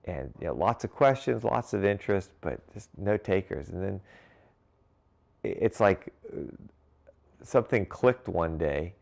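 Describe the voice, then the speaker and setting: Deep gravelly man telling his story. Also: animated, a little slow, a little mellow. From grew up in Cincinnati and Brussels. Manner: easygoing, uses fillers and restarts, occasional light laughter. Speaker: interview guest, brewery founder. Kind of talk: relaxed conversational storytelling.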